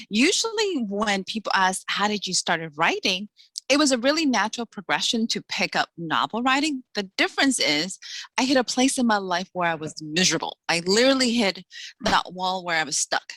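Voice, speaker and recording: A bright, forceful woman in her forties, originally from the Dominican Republic, chatting about her life as a guest author. She talks fast and warmly with easy laughs, close to a dry, dead-sounding mic. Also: medium pitch, no echo, rough recording. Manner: quick, chatty, laughs often. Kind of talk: casual interview chat.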